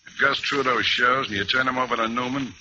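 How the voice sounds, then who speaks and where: A man's voice: medium pitch, fairly even intonation, brisk, sharp tone. An actor playing a hard, cynical American homicide detective in a radio noir, curt and blunt, with threat in his words. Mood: cold, threatening. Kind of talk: old-time radio noir acting. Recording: dull, hissy old radio recording.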